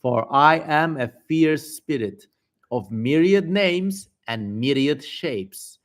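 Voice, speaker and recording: A man's voice: on the high side, animated, takes it slowly. A man voicing a fierce, defiant female demon spirit in a theatrical, haughty reading of an ancient text. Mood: menacing, boastful.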